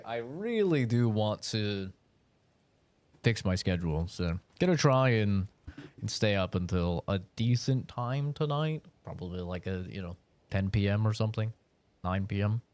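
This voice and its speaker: A man's voice: on the low side, expressive, slow, pauses often, a little mellow, no room sound. Laid-back young man streaming a game. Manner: rambling, laid-back, swears casually. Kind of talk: casual live-stream chat while gaming.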